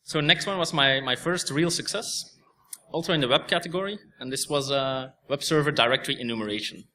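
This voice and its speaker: A man's voice: mid-range voice, a little fast, bright, forceful tone. A young man from Belgium, a native Dutch speaker, giving a technical talk with a Belgian Dutch accent and explaining step by step with frequent restarts. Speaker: conference speaker.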